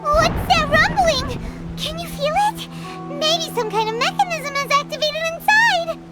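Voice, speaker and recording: A woman voicing a small, chirpy, curious fairy companion in a video game, with a squeaky childlike voice. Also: animated, measured pace, loud music behind the voice. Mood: startled.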